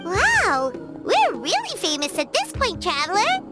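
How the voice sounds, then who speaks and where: A woman voicing a small childlike fairy companion in an anime game, cheeky, chatty and excitable, with a squeaky, perky delivery. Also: a little slow, heavily acted. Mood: surprised, delighted. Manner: perky, exclamatory, speaks of self in third person. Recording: music under the voice.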